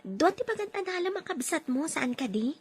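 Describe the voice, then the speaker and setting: A friendly young coworker in an audio drama, voiced by a woman. She speaks in a high, agreeable, conversational voice. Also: sing-song, moderate pace, dry recording, soft background music.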